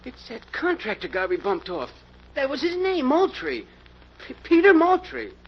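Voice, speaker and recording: A man's voice: very high voice, wildly swooping pitch, normal speed, full character voice. A man playing a nervous, whining American small-time crook in an old radio crime drama, stammering and slangy. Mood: panicked. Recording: muffled, hissy old radio recording.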